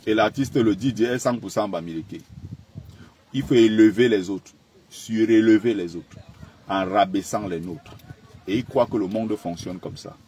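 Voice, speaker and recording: A man's voice: on the low side, a little mellow, slight background noise. A man commenting on a clip in a mocking, exasperated tone.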